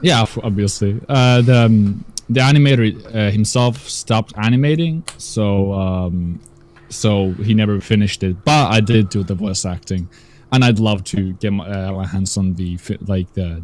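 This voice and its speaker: A young man chats on a live stream. his voice sits a little low, and he rambles as he gropes for words, leaning on "like" and "um" along the way. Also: normal speed, a little mellow. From Russia. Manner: rambling, searches for words with many fillers. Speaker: guest. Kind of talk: casual stream chat.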